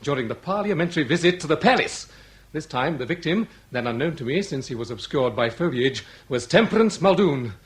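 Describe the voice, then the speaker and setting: Grandiose, high-pitched aristocratic villain played by a man. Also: lively intonation, fairly quick, natural voice. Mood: grandiose confession. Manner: grandiose, florid, formal declaiming. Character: male aristocratic big-game hunter villain, proud, cold-blooded. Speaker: actor. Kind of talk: period radio melodrama acting.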